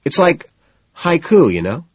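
A man voicing a nervous, overeager well-off man who rushes and stammers while faking composure, in an audiobook. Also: fairly high pitch, big pitch swings, slightly rough, pitched above the performer's own voice, full character voice. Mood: eager, urging. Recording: dull, lo-fi, roomy sound.

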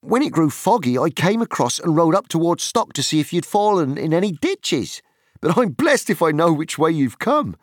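A man's voice: a little high, big pitch swings, normal speed, dry recording, studio quality, voice pushed up. A man voicing a cheerful young male hobbit who banters and teases. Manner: bright, bantering.

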